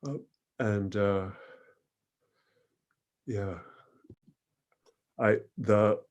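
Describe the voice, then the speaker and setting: Male event host, lowish voice, slow reflective remarks, halting phrasing. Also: fairly even intonation, muffled recording. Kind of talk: off-the-cuff commentary on readings.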